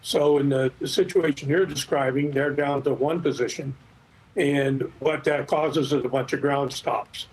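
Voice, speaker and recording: A middle-aged or older man speaks as an expert guest on a news program. His husky, mid-pitched voice explains things in a measured, halting way. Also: steady tone, a little mellow, low-quality recording. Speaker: expert guest, former air traffic controller. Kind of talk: news interview commentary.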